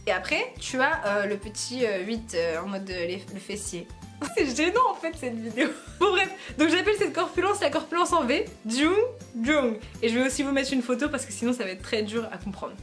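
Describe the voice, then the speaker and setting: Giggly high-voiced young woman vlogging. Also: expressive, fairly quick, fairly bright tone, soft background music. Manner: playful, giggly, self-mocking. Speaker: YouTuber. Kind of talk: casual vlog chat to camera.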